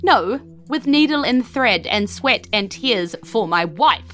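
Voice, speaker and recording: A woman's voice: a little high, lively intonation, a bit bright, voice pushed up. Woman playing a bitter, defensive male designer. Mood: indignant, evasive. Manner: evasive, halting, defensive.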